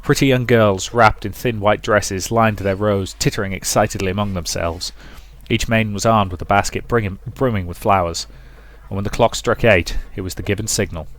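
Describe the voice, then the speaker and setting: A man's voice: on the low side, fairly even intonation, moderate pace. A man hosting a let's play, reading the game's story aloud and commenting on it casually. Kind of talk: casual game commentary and dramatic read-aloud narration. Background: music.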